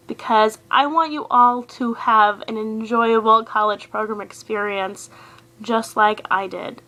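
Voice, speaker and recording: Young adult female vlogger, higher-pitched and very bright, upbeat and emphatic advice-giver. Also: rough recording. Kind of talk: upbeat scripted advice to camera.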